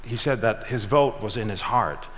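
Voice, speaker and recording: A man's voice: on the low side, fairly bright tone, a little hiss or hum. Middle-aged man telling a story in a hall. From Switzerland and Ghana. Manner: measured storytelling, trailing off at line ends. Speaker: speaker. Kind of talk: prepared talk on stage. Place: large auditorium. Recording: reverberant hall.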